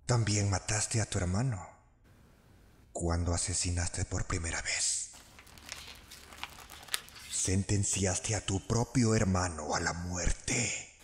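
A man's voice: deep voice, slow, pauses often, a little hiss or hum. A man with a Latin American Spanish accent voicing a ruthless young prosecutor in a film dub, taunting and intimidating a suspect with vulgar contempt. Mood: cold menace. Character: ruthless young male prosecutor, menacing, explosively angry interrogator. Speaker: fan dub voice actor. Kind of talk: dramatic film dub acting.

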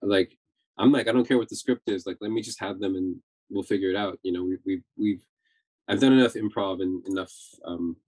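A man's voice: deep voice, darkish tone, rough recording. A man in his forties, a TV director, talking casually on a video call from home, thinking aloud with restarts. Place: home room.

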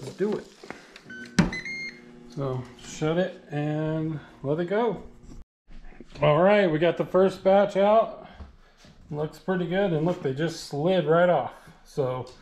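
A man's voice: a little high, darkish tone, slight background noise, rough recording. A man in his thirties filming a casual cooking vlog in his home kitchen, joking and chatty with lots of fillers. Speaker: vlogger cooking meal prep.

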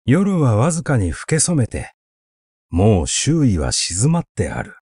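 A man reading literary prose as an audiobook narrator, in a measured, deliberate storytelling delivery. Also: mid-range voice, huge pitch swings, darkish tone, slightly rough, no room sound. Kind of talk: literary recitation, scripted narration.

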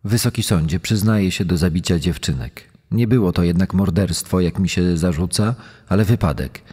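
Actor as deep, dark-voiced accused killer testifying. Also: male voice, moderate pace, slightly rough. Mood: grave, confessing. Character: male accused child killer giving self-justifying court testimony. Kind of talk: dramatised testimony in true crime audio. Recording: long echoing hall reverb.

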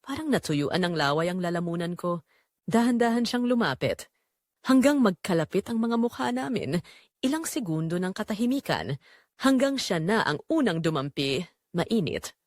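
A woman's voice: lowish voice, very animated, normal speed, no room sound, studio quality. A woman reads a scripted first-person romance story as its narrator.

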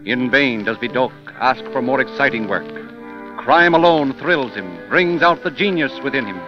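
A man's voice: medium pitch, lively intonation. Dramatic 1930s radio narrator, man over music. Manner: dramatic, measured. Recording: dull 1930s radio recording.

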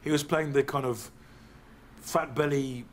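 A man speaking in a documentary interview, reflective and lively, recorded in a living room. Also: mid-range voice, measured pace, slight background noise. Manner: reflective, mimics voices when quoting. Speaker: interviewee, comedian.

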